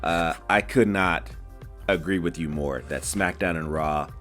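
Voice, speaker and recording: Man, very low voice, laid-back drawl, casual co-host, faint music behind. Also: a little slow, fairly bright tone. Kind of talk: casual wrestling chat.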